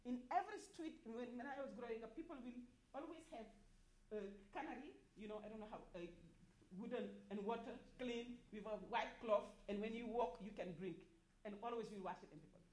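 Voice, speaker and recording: Passionate Senegalese woman, halting delivery. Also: a little high, a little mellow, slight background noise, poor audio. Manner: halting, many restarts and repeated words, rising in force on key points. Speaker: activist speaker at a development forum. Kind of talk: impassioned panel speech.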